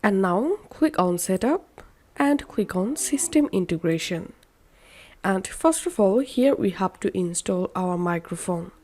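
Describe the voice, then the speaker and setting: A woman narrating a step-by-step software tutorial in simple, instructional wording. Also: medium pitch. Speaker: tutorial host.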